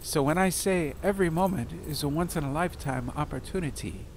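A man's voice: a little high, brisk, darkish tone, a little hiss or hum, voice pushed up, lightly acted. A man voicing a chained prisoner who speaks in a formal, old-fashioned, cheerful way. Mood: earnest. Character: chained male prisoner, eloquent, upbeat, wordy. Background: ambient music.

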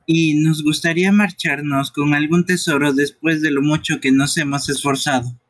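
A man dubbing game dialogue live in an amateur, playful, teasing way, with a husky voice. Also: on the high side, steady tone, moderate pace.

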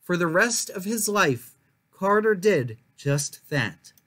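A man's voice: sits high, very animated, slow pace, a bit bright. A young adult man reading aloud as a children's storytime host, with careful, emphatic delivery. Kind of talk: reading a picture book aloud to viewers.

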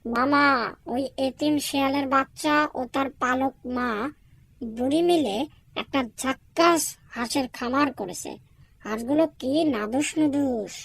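A woman's voice: high-pitched, measured pace, creaky, no room sound, full character voice. A woman voicing a sly, greedy male fox in a cartoon, scheming and wheedling as she talks. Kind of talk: cartoon dubbing.

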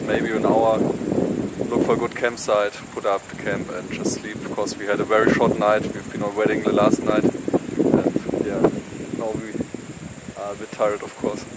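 A man's voice: fairly low pitch, very noisy. Young German man vlogging outdoors. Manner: relaxed, halting, plain-spoken. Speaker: hiking vlogger. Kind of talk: casual vlog narration. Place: outdoors in mountain meadows. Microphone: phone at arm's length.

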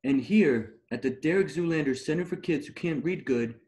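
A man's voice: fairly high pitch, normal speed, a little mellow, close to an everyday voice. A man playing a vain, dim-witted but earnest male supermodel, clueless in manner, over a video call.

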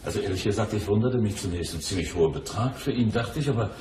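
An actor playing an obliging, precise bank cashier in a crime radio play, explaining things in detail. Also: male voice, fairly low pitch, fast, lightly acted. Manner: animated, explanatory. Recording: roomy echo, clear background noise.

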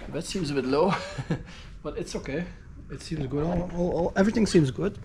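Male travel vlogger, thirties, slightly higher voice, casual thinking-aloud delivery, noisy handheld camera audio. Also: moderate pace, vocal fry. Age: 30s. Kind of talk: casual spoken-as-it-happens travel vlog narration. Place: city street, then hotel lobby, lift, corridor and room. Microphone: action camera held at arm's length.

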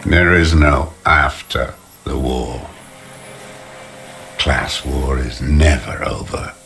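Gravelly, very deep old communist diehard. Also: male voice, a little hiss or hum, full character voice. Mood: cold, contemptuous. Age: older. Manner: slow, contemptuous, doctrinaire pronouncements. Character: bitter old male communist deserter, defiant ideologue. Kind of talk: video game voice acting. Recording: loud music behind the voice. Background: music.

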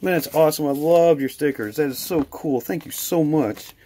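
An American man, a hobby vlogger with a husky voice, chatting casually and appreciatively to viewers as he shows things on camera. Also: fairly high pitch, normal speed, dark tone, a little hiss or hum. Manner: enthusiastic, appreciative. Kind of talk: casual chat to camera while opening mail.

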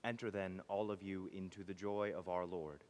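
A man reading a homily as clergy, in a solemn, measured, declamatory liturgical style. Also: sits low, steady tone, a little mellow. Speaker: clergy reader. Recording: long reverb of a church.

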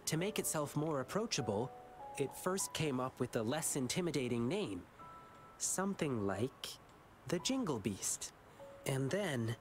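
Boyish anime game lion dancer, earnest n wistful, slow talking, music behind him. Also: male voice, on the high side. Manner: earnest, measured, wistful storytelling. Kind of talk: video game story dialogue.

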